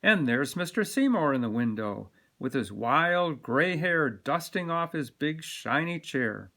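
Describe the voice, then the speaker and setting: Bright-voiced man reading a children's story. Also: a little high, expressive, measured pace. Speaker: volunteer story reader. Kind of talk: read-aloud children's storytelling.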